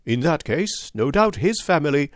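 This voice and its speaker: A man voicing an excitable young sportsman with an eager, deferential manner. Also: a little high, moderate pace, dry recording, studio quality. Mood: earnest.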